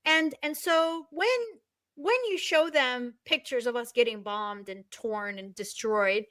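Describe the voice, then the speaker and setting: High-voiced woman guest, intense and emphatic, dry close mic, from palestine. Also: steady tone, measured pace, dry recording. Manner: forceful, emphatic, repeats words for emphasis. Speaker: guest, novelist and activist. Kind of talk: impassioned interview talk.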